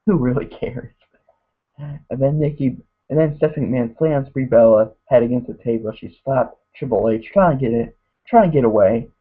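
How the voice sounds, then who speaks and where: A man reviewing a wrestling show in a rambling casual way, saying "guys" constantly and getting hoarse. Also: medium pitch, normal speed. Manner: mocks wrestlers in put-on voices. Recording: tinny phone-like lo-fi audio.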